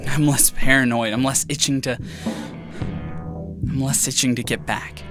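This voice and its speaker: Male actor as a young man obsessed with his lost memories, for an audio drama; halting, self-questioning, average pitch, over a music bed. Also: fairly even intonation, natural voice. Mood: brooding. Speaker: lead character's monologue. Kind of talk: audio drama inner monologue.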